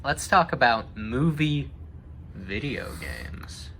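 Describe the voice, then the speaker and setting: A man's voice: mid-range voice, sing-song, slow, pushed and bright. A young man hosting a casual, conversational chat about games and movies. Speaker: host. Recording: clearly noisy background.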